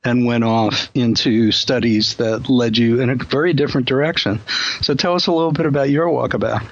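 Male host, measured and musing, dull lo-fi sound. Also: mid-range voice, dry recording. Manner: thoughtful, frequent ums and you-knows. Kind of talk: casual discussion of religion.

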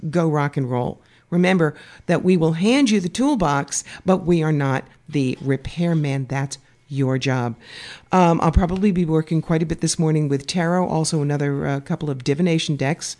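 A woman's voice: low voice, normal speed, a little mellow. A woman psychic guest on a radio show, talking in a wry, blunt, joking way. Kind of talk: casual radio chat. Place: radio studio.

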